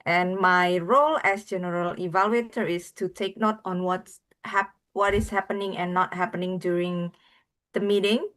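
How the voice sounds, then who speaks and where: A woman's voice: lowish voice, steady tone, normal speed, fairly bright tone. Polite woman introducing meeting roles. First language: Indonesian. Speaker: general evaluator. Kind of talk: formal meeting hosting. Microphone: video call.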